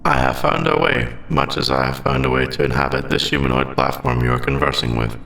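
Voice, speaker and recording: A man voicing a formal, logical android AI in an ASMR audio roleplay, with precise, stilted phrasing and gravelly endings to his phrases. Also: mid-range voice, wildly swooping pitch, brisk, sharp tone, slight background noise, exaggerated voice. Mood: earnest, curious. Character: formal, logical male android AI, secretly sentient and earnest.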